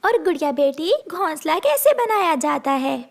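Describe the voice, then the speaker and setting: A woman's voice: high voice, lively intonation, normal speed, gravelly, pitched above the performer's own voice. A woman voicing a kind, wise mother sparrow in a cartoon, nurturing and given to moral advice. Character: kind, wise mother sparrow, gently teaching her young.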